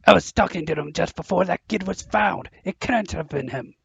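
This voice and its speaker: A man playing a big, loyal, anxious gamekeeper in an audio drama, blurting his words in a flustered, gravelly, husky voice. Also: high voice, moderate pace, dry recording, lo-fi sound, exaggerated voice. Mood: pleading, agitated.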